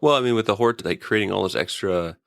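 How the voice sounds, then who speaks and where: A man's voice: fairly low pitch, quick pace, gravelly, fairly bright tone. A man co-hosting a casual, joking review chat, riffing with playful exaggeration. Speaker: podcast co-host reviewing TV episodes.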